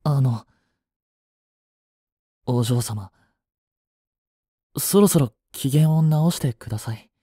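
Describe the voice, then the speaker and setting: A man acts out a young butler in a romantic audio drama, with a dark, soft-edged voice at a middle pitch. He speaks formally, cool on the surface yet devoted and fiercely possessive. The sound is very dry and intimate. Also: slow pace, long pauses, breathy edge, dry recording. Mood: apologetic, sulky. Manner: formal, polite to his mistress, curt to rivals. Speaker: voice actor.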